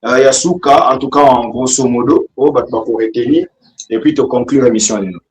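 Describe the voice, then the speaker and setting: A man with a Congolese accent hosting a talk show, putting casual questions to his guest in a halting way. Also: mid-range voice. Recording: echoey room with faint background noise.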